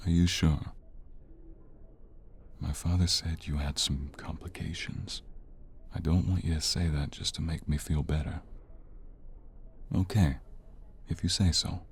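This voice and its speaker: A man playing an injured young mobster, a devoted and protective lover, in an intimate, halting audio roleplay with a gravelly, husky voice. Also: extremely low pitch, steady tone, darkish tone, a little hiss or hum. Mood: tender, soothing.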